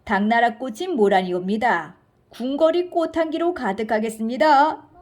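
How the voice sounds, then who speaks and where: A woman voicing an excitable, deferential royal courtier in a children's storybook, with exclamatory, archaic courtly speech. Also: on the high side, moderate pace, natural voice. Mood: deferential, excited.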